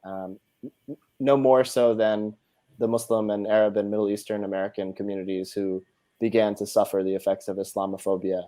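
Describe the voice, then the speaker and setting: A man moderating a panel discussion, speaking in a measured way with frequent filler words. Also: fairly low pitch, flat delivery, dark tone.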